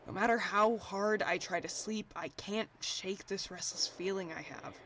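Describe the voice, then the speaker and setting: A woman playing a restless, irritable software engineer in an audio drama, talking in a dry, pedantic, bickering way. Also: medium pitch, a little slow, slight background noise.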